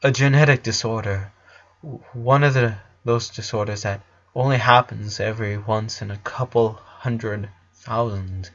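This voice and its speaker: A man voicing a distraught, grave father in a story, breaking bad news haltingly. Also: lowish voice, steady tone, a bit bright. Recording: dull lo-fi recording.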